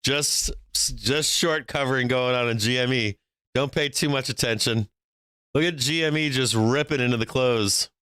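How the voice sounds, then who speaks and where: A man's voice: medium pitch, normal speed, pushed and bright, clear, resonant tone, no echo, studio quality. A man from Boston hosting a live stock trading stream, brash and joking, laughing often between calls. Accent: faint Boston. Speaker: stock market livestream host.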